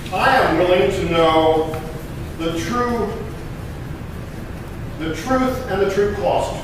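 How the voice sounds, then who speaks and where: A man playing an impassioned eighteenth-century American patriot statesman, declaiming a speech with emphatic, deliberate pauses in a dramatic reading. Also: high-pitched. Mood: solemn, resolute. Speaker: living historian performer. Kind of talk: dramatic historical oratory reading. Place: historic courthouse room. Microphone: distant microphone. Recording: very echoey room, distant mic, noisy.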